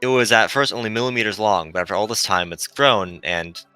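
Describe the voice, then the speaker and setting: A man voicing a gentle, melancholy female shuttle AI, earnestly explaining as it fades in a visual novel. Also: lowish voice, a little fast, soft background music. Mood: grave warning.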